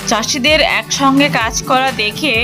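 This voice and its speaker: A woman's voice: high voice, normal speed, over music. A woman with a Bengali accent reading scripted narration for a cartoon story.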